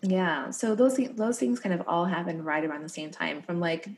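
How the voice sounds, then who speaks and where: A woman's voice: on the low side, lively intonation, moderate pace. A woman in her thirties co-hosting a podcast in casual chat, her voice scratchy and tired, talking in an upbeat, frank way. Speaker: podcast co-host.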